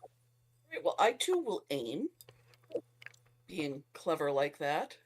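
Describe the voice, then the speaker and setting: Slow-talking woman playing a tabletop game. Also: sits low, sing-song, slightly rough. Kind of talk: casual game chat.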